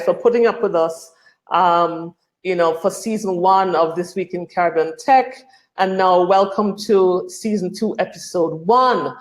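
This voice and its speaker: A woman hosting a live online tech show, chatty and upbeat, with lots of ums. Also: fairly low pitch. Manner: chatty, upbeat, many fillers. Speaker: host.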